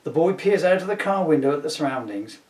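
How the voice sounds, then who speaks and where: A man's voice: a little high, animated, fast talker, darkish tone. A man giving a comic scripted reading with wry, self-mocking asides. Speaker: author reading his own script. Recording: roomy echo, distant mic.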